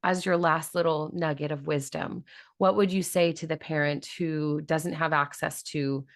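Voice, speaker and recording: A woman with a notably low voice hosts a casual interview podcast. She thinks aloud and builds long, reflective questions for her guest. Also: steady tone, moderate pace. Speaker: podcast host.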